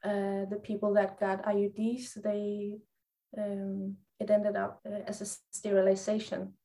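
A woman from Greenland with a Greenlandic accent, a native Greenlandic speaker, answering interview questions softly and thoughtfully, with pauses and fillers. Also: medium pitch, monotone. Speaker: guest psychologist.

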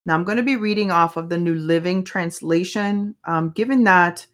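A fairly low-voiced woman whose first language is Spanish talks through her own faith podcast. She sounds earnest and conversational, often stopping to ask herself questions as she goes. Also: normal speed. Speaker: podcast host. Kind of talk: casual faith podcast monologue.

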